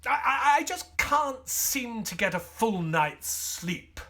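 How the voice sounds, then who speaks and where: An actor playing a weary, grieving Victorian gentleman doctor in an audio drama, with a British accent and formal, old-fashioned phrasing. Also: extremely high pitch, very animated, takes it slowly, full character voice. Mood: flustered, tired. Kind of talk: audio drama acting with first-person storytelling.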